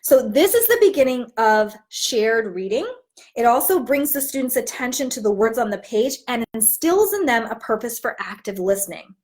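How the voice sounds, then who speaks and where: A woman with a slightly high voice gives an instructional read-aloud lesson. Her intonation swings widely and expressively. She phrases things clearly, like a teacher showing others how to present a storybook. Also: big pitch swings, normal speed, a little mellow. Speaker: teacher trainer.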